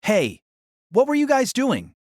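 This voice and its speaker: A woman's voice: fairly high pitch, huge pitch swings, a little slow, a little mellow, dry recording, studio quality, heavily acted. A woman playing a polite, bewildered teenage boy student in an audio drama, hesitant and questioning. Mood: puzzled. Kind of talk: anime-style audio drama acting.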